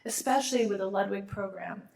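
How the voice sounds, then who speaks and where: A woman's voice: medium pitch, a little fast, creaky, a little mellow. A middle-aged woman, a law school dean, gives a formal awards speech while losing her voice, with small restarts and asides.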